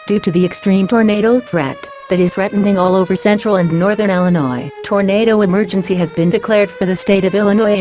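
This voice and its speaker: A woman's voice: mid-range voice, a little mellow. Synthetic female emergency announcer. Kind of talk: synthetic emergency broadcast reading.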